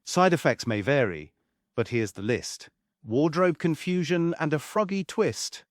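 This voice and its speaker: Theatrical, animated male showman played by a man. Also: on the high side, measured pace, no room sound, clean studio sound. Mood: mischievous. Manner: theatrical, wry. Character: theatrical, meddling male showman, sardonic and flamboyant. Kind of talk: comic stage play table read.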